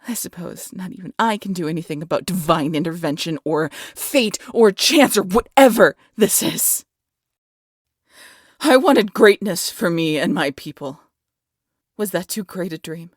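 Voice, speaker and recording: A woman playing a proud, vengeful demon queen in an audio roleplay, theatrical and menacing, often dropping to a breathy hush. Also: fairly low pitch, expressive, a little slow, no room sound, studio quality. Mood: bitter, defiant. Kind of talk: scripted audio roleplay addressed to listener.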